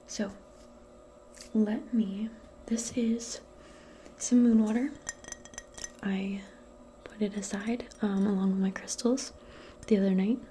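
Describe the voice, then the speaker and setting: Softly whispering, slow, mellow woman. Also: mid-range voice, fairly even intonation, a little hiss or hum. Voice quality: soft, breathy, often slipping into whisper. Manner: gentle, unhurried, hesitant and improvised. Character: modern witch, a gentle woman tending a guest. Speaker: ASMR roleplay host. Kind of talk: soft-spoken, whispered ASMR personal attention roleplay.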